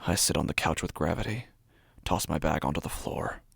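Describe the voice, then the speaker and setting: A man acting a deep, gravelly, obsessive horror narrator. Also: fairly quick, fairly bright tone, dry recording, heavily acted. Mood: tense, brooding. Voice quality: low, gravelly, often breathy, dropping to whispers. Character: anxious, obsessive male apartment dweller fixated on a door. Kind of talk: dramatic first-person horror audio drama monologue.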